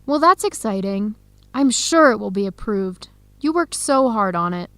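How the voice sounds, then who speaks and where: A woman playing an earnest, heartbroken young American researcher in a radio drama, speaking clearly and conversationally. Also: mid-range voice, expressive, moderate pace, no room sound, close to an everyday voice. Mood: hopeful. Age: young adult. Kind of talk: scripted radio drama dialogue.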